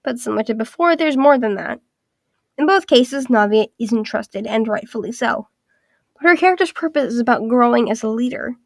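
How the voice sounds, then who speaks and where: Woman video essayist, higher voice, raspy and stuffed-up from illness, methodical scripted read, dry close sound. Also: animated, moderate pace, no echo. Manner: structured, list-by-list evaluation.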